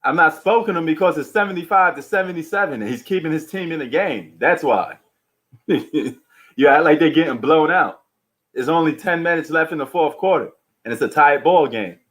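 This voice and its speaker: A man's voice: a little high, brisk, fairly bright tone. Slangy, bantering man hosting a sports stream. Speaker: livestream sports host. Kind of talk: casual live sports chat and commentary.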